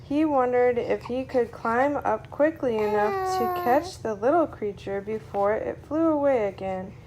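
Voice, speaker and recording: Slow, high-voiced woman reading a story. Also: animated, noisy background. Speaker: storyteller reading a children's book aloud. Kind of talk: read-aloud story narration for a small child.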